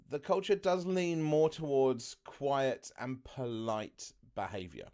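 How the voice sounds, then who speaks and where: Travel vlogger, man, mid-pitched, chatty and unscripted, loud-voiced. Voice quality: naturally loud voice. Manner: chatty, loose, full of fillers and asides. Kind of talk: casual talk to camera.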